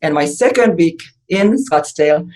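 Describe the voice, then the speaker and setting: A woman from Finland telling her story as a podcast guest, lively and chatty. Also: low-pitched, moderate pace. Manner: lively, chatty, laughs often. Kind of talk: interview storytelling.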